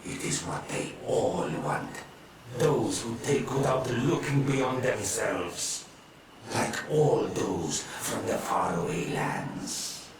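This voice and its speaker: A man's voice: lowish voice, expressive, slow. A man playing an ancient, grieving god of the earth in a video game, speaking solemnly and dramatically. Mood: grave, bitter. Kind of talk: video game cutscene acting. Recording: distant, echoing voice with clear noise.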